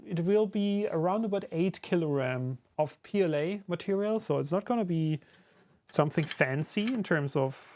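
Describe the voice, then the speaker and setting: A man's voice: a little high, background noise. A German man, a native German speaker talking in English with a German accent, chatting casually on a live stream while he builds and answers his chat. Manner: relaxed and conversational, many fillers, answers chat. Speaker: streamer and YouTuber building a 3D printed enclosure.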